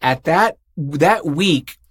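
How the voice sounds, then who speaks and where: A man's voice: fairly high pitch, lively intonation, extremely slow, breathy edge, dry recording, studio quality. A man chatting casually as an interview guest, telling things in a rambling way and acting out imagined quotes. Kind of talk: casual chat.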